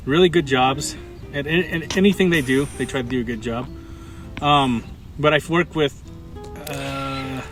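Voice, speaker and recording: A man with a slightly high voice gives a casual interview answer as a school staff member. He talks in halting, measured phrases. Faint music runs underneath.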